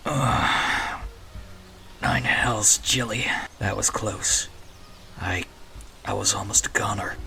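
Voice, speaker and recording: A man's voice: on the low side, steady tone, slow, creaky, long pauses, sharp tone, soft background music, heavily acted. A man playing a rattled cursed rogue with a dry temper and a hesitant, weary way of talking, in a fantasy audio drama. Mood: shaken.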